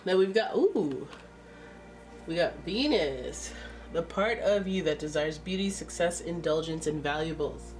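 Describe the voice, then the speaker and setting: A woman's voice: sits low, expressive, unhurried, darkish tone, recorded from a distance, slight background noise. A woman with a stuffy nose giving a casual, chatty tarot reading, exclaiming and thinking aloud as she turns the cards. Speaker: tarot reader.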